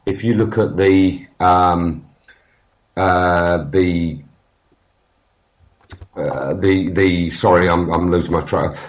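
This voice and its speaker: A man, talking casually in a halting way with many fillers and restarts. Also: sits low, steady tone. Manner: halting, many fillers and restarts, loses his train of thought. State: has a cold. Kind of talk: casual podcast conversation. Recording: dull lo-fi sound.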